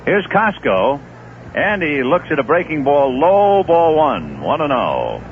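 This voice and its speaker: A man's voice: on the high side, slow. A man doing live play-by-play baseball commentary on the radio, his voice climbing with the big plays. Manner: lively, rising with big plays. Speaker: play-by-play announcer. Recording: tinny, noisy 1970s radio broadcast.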